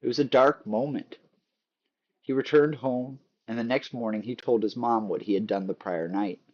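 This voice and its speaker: A man narrating a book in a measured storytelling way, with dry humor. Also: medium pitch, fairly even intonation, muffled recording. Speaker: author reading a book aloud. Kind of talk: scripted narration, book read-aloud.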